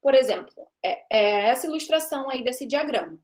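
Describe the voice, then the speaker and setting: High-voiced chatty woman lecturing. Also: animated, moderate pace, darkish tone. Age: 30s. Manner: chatty, teasing students, checks in with "belezinha?" and "né?". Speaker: university lecturer. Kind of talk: live classroom lecture on discrete math. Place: classroom with students.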